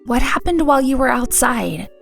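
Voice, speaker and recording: A woman's voice: high-pitched, animated, moderate pace, vocal fry, faint music behind. A woman playing a firm, protective elf mother in a fantasy audio drama. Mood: puzzled.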